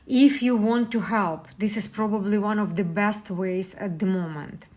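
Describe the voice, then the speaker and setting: This is a woman with a Ukrainian accent, speaking English as a second language. She makes an earnest, measured appeal at a middle pitch, with a few hesitant pauses. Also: a little mellow. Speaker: university lecturer. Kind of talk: personal appeal for donations.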